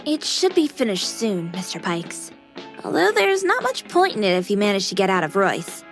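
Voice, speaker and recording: A woman's voice: mid-range voice, wildly swooping pitch, moderate pace, faint music behind. An actress playing a cute, devoted AI maid girl in a video game, speaking in a polite but teasing, pert manner. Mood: helpful, polite.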